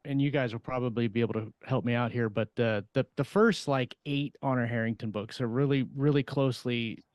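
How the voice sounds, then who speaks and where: A man's voice: mid-range voice, fairly even intonation, fairly quick, darkish tone, no echo. A man co-hosting a podcast, chatting casually and thinking aloud as he talks. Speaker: podcast co-host. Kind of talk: casual panel discussion.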